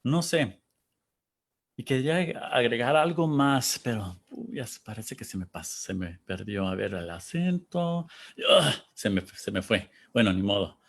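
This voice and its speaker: A man from Texas hosting a panel, talking casually and reflectively, pausing to search for words and reading out audience comments. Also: medium pitch, big pitch swings. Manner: thoughtful, searching for words, pauses.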